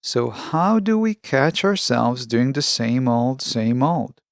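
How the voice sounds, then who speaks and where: A man hosting a podcast, delivering a scripted self-help monologue in measured, deliberate phrases, close on the microphone. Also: mid-range voice, vocal fry, no echo. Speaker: podcast host.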